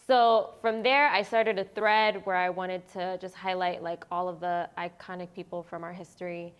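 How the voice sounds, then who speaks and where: A woman's voice: lowish voice, fairly even intonation, moderate pace, clear, resonant tone, lo-fi sound. A woman on a panel, telling a personal story in a chatty way and laughing easily. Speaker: guest panelist. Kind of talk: casual panel conversation.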